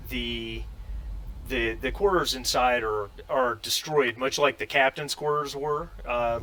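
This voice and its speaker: A man running a tabletop game as game master, improvising scene descriptions in a halting way and giving rulings. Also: mid-range voice, fairly bright tone, a little hiss or hum, poor audio.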